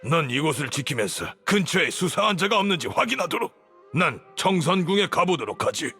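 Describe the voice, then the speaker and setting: An actor playing an arrogant older grand sage villain in a game, with a pompous, commanding, indignant delivery. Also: male voice, fairly high pitch, sing-song, brisk, pushed and bright, slightly rough, soft background music, exaggerated voice. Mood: commanding, scheming. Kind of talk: dramatic game voice acting.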